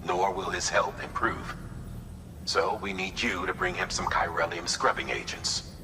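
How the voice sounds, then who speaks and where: An actor playing a calm male commander who briefs in a measured way. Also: deep voice, gravelly, noisy background, exaggerated voice. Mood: briefing. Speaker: mission director character.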